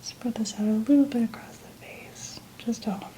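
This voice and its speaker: A woman speaking softly and often whispering in a breathy voice, gently narrating each step as an ASMR makeup host. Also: a little high, steady tone, brisk. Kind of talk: soft-spoken ASMR roleplay.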